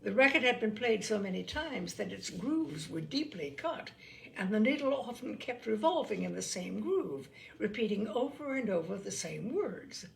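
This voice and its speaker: A woman reading literary stories aloud as a storyteller, with measured, deliberate, expressive phrasing. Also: medium pitch, vocal fry, a little hiss or hum.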